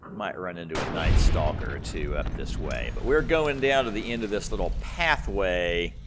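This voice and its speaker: A man hosts a gameplay video in a fairly low voice with animated swings in pitch. He rambles casually, thinking aloud as he plays. There is faint noise and game ambience behind him. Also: normal speed. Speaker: gaming channel host.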